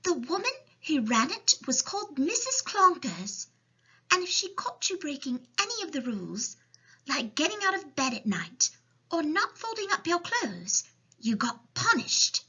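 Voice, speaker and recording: A woman's voice: high voice, big pitch swings, unhurried, pushed and bright, highs cut off, pitched above the performer's own voice, exaggerated voice. A woman voicing a plain-spoken, stoical little orphan girl in a children's storybook. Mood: unhappy, recounting.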